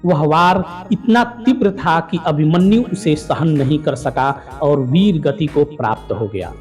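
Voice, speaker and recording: Male narrator, slightly high voice, measured formal storytelling over faint music. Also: darkish tone. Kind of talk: scripted mythological narration.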